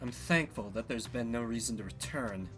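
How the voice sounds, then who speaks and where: A man's voice: mid-range voice, normal speed, a bit bright, faint music behind, voice pushed down, lightly acted. A man voicing a world-weary winged harpy woman in a fantasy game, with a wry, guarded, drawling delivery. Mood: wistful, uneasy.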